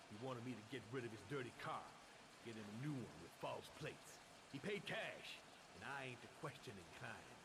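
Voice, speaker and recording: An actor playing a foul-mouthed, aggressive criminal mechanic with streetwise slang in a dramatic video game. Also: male voice, mid-range voice, gravelly, background noise. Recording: loud music over the voice.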